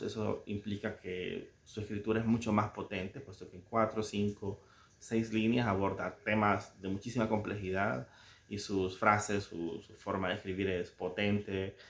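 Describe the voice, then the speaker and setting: A man from El Salvador with a Salvadoran Spanish accent, talking casually and reflectively about a book he loves, searching for words with soft chuckles. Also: on the low side, gravelly, roomy sound. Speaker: book reviewer. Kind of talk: casual spoken book commentary.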